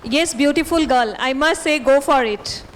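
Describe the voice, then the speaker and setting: A woman speaks in a high voice, advising students directly and calling them her dear children. Her tone is motherly and firm. Faint noise sits under the recording. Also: moderate pace. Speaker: school principal on a panel.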